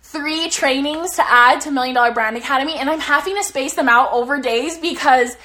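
Bright high-voiced young woman coaching. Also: brisk. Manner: energetic, swearing, motivational. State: slightly losing her voice. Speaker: business coach. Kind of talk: casual live stream talk. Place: bedroom, sitting on her bed.

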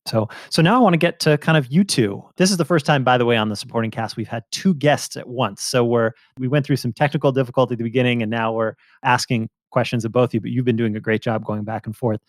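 A man's voice: medium pitch, fast, hardly any pauses, no echo. A man hosting a podcast, interviewing his guests in a conversational way.

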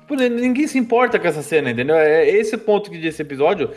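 A man's voice: a little high, animated, fast, faint music behind. A young man, co-hosting a podcast, blunt and swearing freely in casual chat. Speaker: podcast co-host.

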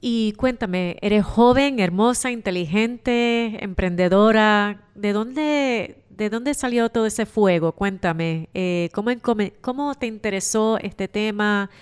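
A woman with a clear, smooth voice, hosting a podcast and interviewing a guest in a conversational way. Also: medium pitch, takes it slowly, few pauses.

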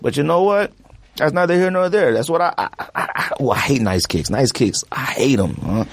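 A man hosting a sneaker podcast in casual chat, slangy and animated, tossing in "huh?" as he goes. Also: mid-range voice, moderate pace, no room sound. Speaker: podcast host.

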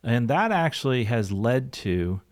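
A man's voice: medium pitch, big pitch swings, a little slow. A man hosting a podcast in an upbeat, affirming, conversational way. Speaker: podcast host, pilot and career coach. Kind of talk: conversational interviewing.

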